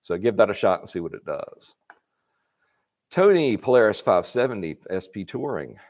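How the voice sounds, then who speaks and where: Deep-voiced man, folksy shop talk. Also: sing-song, normal speed, darkish tone. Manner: folksy, chatty, reads questions aloud and adds anecdotes. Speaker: parts company host answering viewer questions. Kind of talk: live Q&A, casual advice. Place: workshop garage with motorcycles, indoors.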